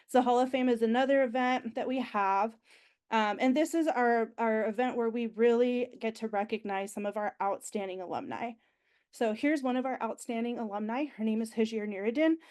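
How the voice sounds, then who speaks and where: A woman's voice: a little high, a little mellow, smooth. A young woman with a nasal voice, recovering from being sick, giving an enthusiastic, chatty orientation presentation with frequent ums. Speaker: university alumni relations staff presenter.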